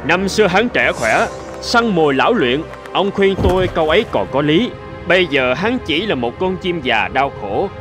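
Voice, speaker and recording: A man's voice: on the high side, very animated, moderate pace, hardly any pauses, music in the background. A man voicing a spiteful, cocky male sardine in a cartoon fable, mocking and gloating theatrically. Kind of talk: animated fable voice acting.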